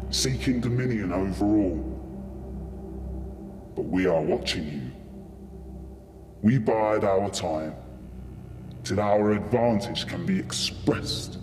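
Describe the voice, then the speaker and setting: A man playing an ancient, haughty oracle of the birds in an audio drama, declaiming in a portentous, scornful manner. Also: lowish voice. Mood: menacing. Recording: long reverb, music behind.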